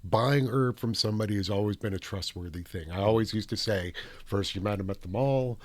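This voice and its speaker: Casting: male guest, lowish voice, firm reflective delivery. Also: a little fast, darkish tone, dry recording. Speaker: guest, cannabis activist. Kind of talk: casual chat.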